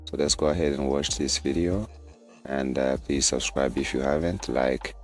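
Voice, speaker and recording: Man with an extremely deep voice. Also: fairly even intonation, moderate pace, faint music behind. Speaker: channel host introducing a video. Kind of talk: scripted video intro.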